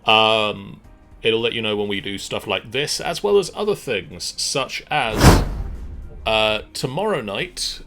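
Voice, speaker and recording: A middle-aged man hosting a livestream, giving casual announcements with halting pauses. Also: on the low side, animated. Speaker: streamer and host. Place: small room at home. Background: faint music.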